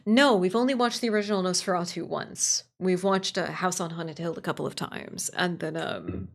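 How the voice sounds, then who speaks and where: A woman's voice: on the low side, moderate pace, gravelly. A woman chatting casually on a livestream, answering viewers in a gushing way and repeating phrases for emphasis. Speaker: livestream host.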